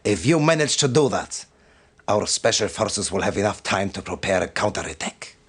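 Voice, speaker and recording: An actor playing a stern, devout military commander who gives solemn, formal and deliberate briefings in a video game. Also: male voice, on the low side, very animated, a bit bright, breathy edge, exaggerated voice. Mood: firm, urgent.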